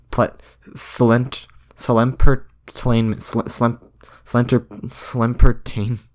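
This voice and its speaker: A young man with a slightly low-pitched voice rambles through a solo podcast. He stumbles and restarts his sentences often and delivers his jokes with a dry, self-mocking deadpan. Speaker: podcast host.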